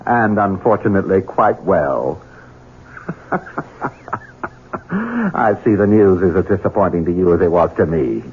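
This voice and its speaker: A scheming heir in an old radio drama, deep and sardonic. He gloats with smooth menace and a widely swinging delivery. The recording is dull, noisy vintage broadcast sound. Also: very animated, unhurried, pauses often. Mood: gloating. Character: scheming, gloating Southern heir, master of the estate. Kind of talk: 1970s radio drama acting.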